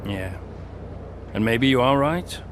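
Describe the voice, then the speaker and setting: A weary veteran racer. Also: male voice, mid-range voice, takes it slowly, noisy background. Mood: cold. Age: middle-aged. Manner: calm, dry, understated. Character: weary veteran male racing driver, calm and dry.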